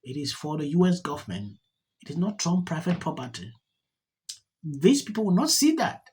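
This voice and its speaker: Earnest channel host talking to the viewers; the pitch moves up and down a lot. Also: big pitch swings, moderate pace, darkish tone, a little hiss or hum. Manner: earnest, appeals directly to viewers. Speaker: YouTube commentator. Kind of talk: solo video commentary.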